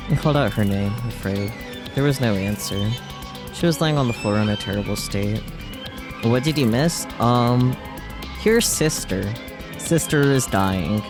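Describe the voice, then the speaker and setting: Male game streamer, low voice, narrates story text and drops wry casual comments over prominent music. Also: a little slow, long pauses. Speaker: streamer playing a visual novel. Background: video game music.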